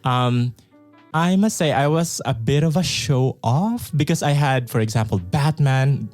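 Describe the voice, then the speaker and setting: A man's voice: medium pitch, faint music behind. Enthusiastic man, chatting about toys. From Philippines. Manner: enthusiastic, animated, quick. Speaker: co-host. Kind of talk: casual chat.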